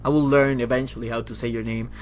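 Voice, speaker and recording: Young Italian-accented guy for a casual Q&A vlog; chatty, profane, keeps restarting sentences at a middle pitch. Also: level tone, quick pace, soft background music. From Italy. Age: young adult. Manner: rambling, profane, frequent restarts. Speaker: YouTuber and streamer.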